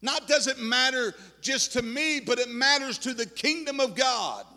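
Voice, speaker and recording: A man's voice: very high-pitched, moderate pace, pushed and bright. A man preaching a fervent revival sermon to his church, impassioned and repetitive, with stammered restarts and sing-song cadences. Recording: long reverb of a large hall.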